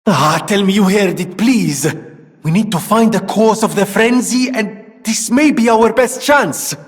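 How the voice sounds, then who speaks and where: Video game gentleman inventor: earnest, florid and theatrical, higher male register, deliberate delivery. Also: big-room sound. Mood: urgent, excited. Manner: theatrical, verbose, exclamatory. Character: eccentric, earnest, theatrical gentleman inventor, grieving yet hopeful. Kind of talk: video game character dialogue.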